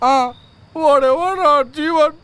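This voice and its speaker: A woman playing a loud, melodramatic mourner in a comedy web series, wailing and rambling in Telangana Telugu. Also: high-pitched, takes it slowly. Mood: melodramatic mourning.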